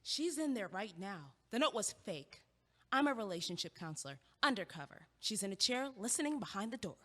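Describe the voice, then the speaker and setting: A woman playing a sharp, guarded, quick-witted young college student in a naturalistic comedic audio play. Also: medium pitch, very animated. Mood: playful, showy. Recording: echoey hallway-like reverb.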